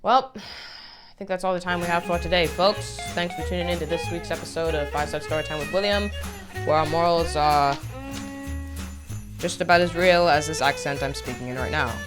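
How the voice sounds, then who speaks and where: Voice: mid-range voice, steady tone, normal speed, over music. A host telling comic stories in a theatrical, mock-solemn and emphatic way, with a put-on accent. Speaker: storytelling show host. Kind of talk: comedic storytelling with put-on accent.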